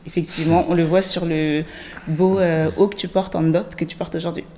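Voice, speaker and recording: A young woman with a slightly low voice steers the interview with curious follow-up questions. She often pauses and rephrases as she goes, speaking into a stand mic in a studio. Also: level tone, dark tone, slight background noise. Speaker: guest interviewer. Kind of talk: conversational interview. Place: studio set with plants and glass-block wall.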